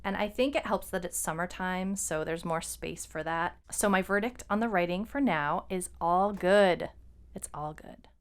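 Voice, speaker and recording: A woman's voice: fairly low pitch, normal speed, smooth. A woman co-hosting a podcast in a casual, conversational style. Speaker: podcast co-host and fiction writer.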